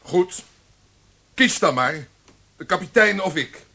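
A man's voice: high-pitched, lively intonation, slow, gravelly, pushed and bright, husky, full character voice. A man in a Dutch radio play voicing a charming, hot-tempered poet and flirt, with a theatrical, teasing, florid way of talking. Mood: jealous rage.

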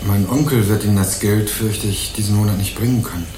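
A man's voice: on the low side, fairly even intonation, a little fast, mellow, reverberant, faint music behind, lightly acted, rough recording. An actor playing a young student turned amateur investigator, courteous and hesitant but insistent in his questions, in a German crime radio play. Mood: polite, careful. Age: young adult.

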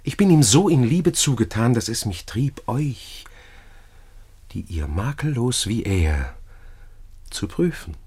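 Courtly, scheming Roman seducer, radio play, husky voice, slow florid delivery. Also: male voice, mid-range voice, sing-song, darkish tone, full character voice. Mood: flattering, contrite. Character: smooth-tongued, scheming Roman gentleman, flattering seducer. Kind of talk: classical German radio play verse acting.

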